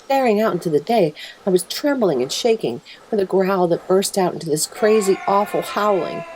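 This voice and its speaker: A woman voicing an anguished, plainspoken mother wolf in a dramatic first-person story reading, grave and emotive, with a husky, breathy voice. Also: mid-range voice, animated, moderate pace, background noise, pitched above the performer's own voice, close to an everyday voice. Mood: horrified, anguished. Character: mother wolf telling of her husband's horrifying change, anguished, plainspoken.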